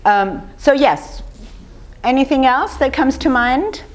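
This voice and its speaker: Older woman lecturing with a slightly rough voice. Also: high-pitched, expressive, slow. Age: 50s or older. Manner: conversational, rhetorical questions to the audience. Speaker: speaker giving a lecture on raw food. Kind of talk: informal public lecture with audience questions.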